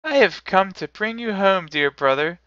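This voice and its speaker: A tiny storybook girl, played by a man, bubbling with eager affection. The voice is very high and bright, recorded dry. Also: expressive, normal speed, clear, resonant tone, dry recording, lo-fi sound. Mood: gleeful. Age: child. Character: tiny gleeful, loving little girl.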